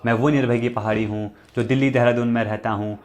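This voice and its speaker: A man's voice: medium pitch, fairly even intonation, fast, darkish tone. A man from the Uttarakhand hills, hosting his own channel, reciting a nostalgic poem and repeating each line before finishing it, with spoken asides between verses. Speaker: poet and channel host.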